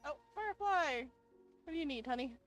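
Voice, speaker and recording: Woman, casual let's play commentary, playful, slightly high voice, faint game music. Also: animated, a little fast. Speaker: guest player on a gaming channel.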